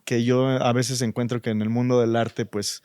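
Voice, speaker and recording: A man with a Mexican accent, a designer guest in a relaxed interview, thinking aloud with fillers. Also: medium pitch, normal speed, clean tone, no room sound, studio quality. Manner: thoughtful, many fillers like "este" and "o sea".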